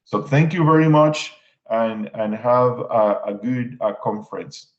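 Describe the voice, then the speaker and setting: A man from Puerto Rico with a Puerto Rican Spanish accent, giving prepared panel remarks as an agency official, halting with fillers and restarts. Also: mid-range voice. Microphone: video call. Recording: dull lo-fi video call audio.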